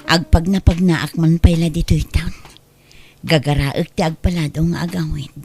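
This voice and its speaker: A woman's voice: mid-range voice, mellow, lightly acted. An actress with an Ilocano Filipino accent playing an anxious, polite but firm married woman in a radio drama. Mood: troubled. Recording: clear background noise and ambience.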